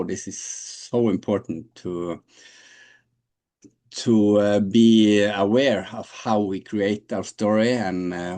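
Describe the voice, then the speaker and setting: A man's voice: on the low side, a little mellow. A man in his late forties from Norway, answering interview questions in a hesitant way, with many fillers and restarts. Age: 40s. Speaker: guest.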